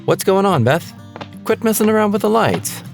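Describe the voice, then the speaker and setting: Man playing animated, skeptical practical husband. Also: high-pitched, moderate pace, creaky, soft background music, exaggerated voice. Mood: dismissive, reassuring. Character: dismissive, practical husband and father in haunted house. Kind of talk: audio drama acting.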